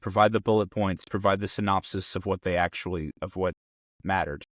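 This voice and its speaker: A man's voice: low voice, brisk, a bit bright, dry recording, clean studio sound. A man chatting casually in a discussion, agreeably chiming in on the points others make. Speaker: discussion panelist on a game master advice show. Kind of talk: casual chat.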